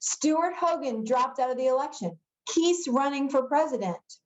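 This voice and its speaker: A woman's voice: high voice, animated, normal speed, darkish tone, reverberant, voice pushed up, rough recording. A woman reading the part of a pushy, sneering middle-school newspaper editor boy in a storybook, insistent and sarcastic. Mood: urgent.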